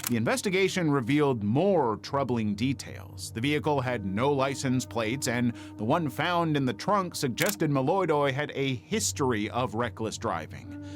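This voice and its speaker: A man reading scripted true-crime documentary narration in a dramatic, measured storytelling manner. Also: medium pitch, very animated. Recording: faint music bed under narration. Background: background music.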